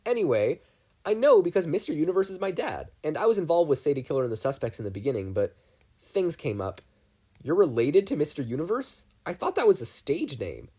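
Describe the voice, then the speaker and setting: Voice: medium pitch, big pitch swings, a little fast, warm tone, husky, voice pushed up. A reader voicing an earnest, cheerful teenage boy who rambles eagerly.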